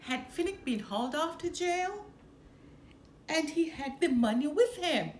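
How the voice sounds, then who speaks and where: A woman reading a children's storybook aloud with expressive, deliberate phrasing. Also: high voice. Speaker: storybook reader. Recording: roomy echo, some background noise.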